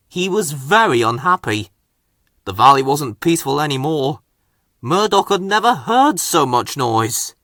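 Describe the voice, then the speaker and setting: Children's story narrator, male, measured pacing, wide lively intonation, bright voice. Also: medium pitch, no room sound, clean studio sound. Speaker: storybook reader.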